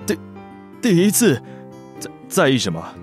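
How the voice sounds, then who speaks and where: 一名男演员在广播剧里饰演忠心又暗恋少爷的年轻随从，爱用恭敬口吻吐槽，容易慌乱。. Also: male voice, a little high, wildly swooping pitch, measured pace, soft background music. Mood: flustered. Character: teasing young male attendant, devoted, secretly lovesick, exasperated. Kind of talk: Chinese audio drama acting.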